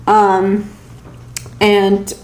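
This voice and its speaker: A young American woman talks casually to her camera in a small, echoey room. Her delivery is slow and halting, with a medium pitch and a rambling, hesitant way of finding her words. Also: monotone, a little mellow. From South Carolina, United States, originally Pakistan. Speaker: vlogger. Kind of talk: casual personal vlog monologue. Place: small indoor room at home with shelves. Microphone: camera or phone at arm's length.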